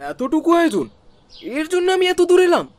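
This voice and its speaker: Casting: scornful young cartoon son-in-law, sneering and hard to please, notably high, lively-pitched delivery. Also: male voice, brisk. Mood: grumbling scorn. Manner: sneering, condescending. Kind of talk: Bengali cartoon voice acting.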